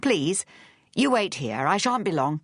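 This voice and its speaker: A man's voice: sits high, big pitch swings, a little slow, a bit bright, slightly rough, no room sound, clean studio sound, full character voice. A woman voicing a proud, easygoing Australian bushman in an audiobook, cheerful and slangy.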